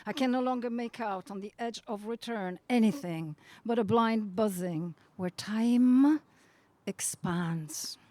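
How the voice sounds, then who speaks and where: A woman presents and reads poetry at a live event. Her voice sits a little high. She phrases things deliberately and with drama, like a practised reader. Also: mellow, no echo. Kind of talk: introduction and expressive poetry reading. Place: outdoors at a gallery event.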